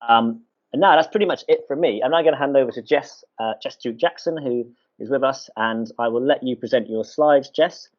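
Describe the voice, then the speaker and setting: A man hosting an online college event, talking hesitantly with many pauses. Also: medium pitch. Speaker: college host. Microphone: video call. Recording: muffled video call audio.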